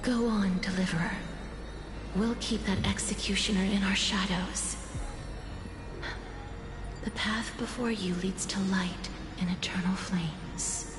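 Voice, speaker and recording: A woman playing a calm, solemn guide in an anime game, speaking in hushed tones. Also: mid-range voice, slow, pauses often, close to an everyday voice. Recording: echoey, with music behind. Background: game music.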